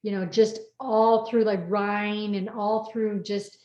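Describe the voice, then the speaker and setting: A woman's voice: mid-range voice, flat delivery, slow, warm tone. A woman chatting casually and playfully as she hosts a personal chat and asks questions, heard in a roomy, slightly dull recording. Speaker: co-author hosting a personal chat.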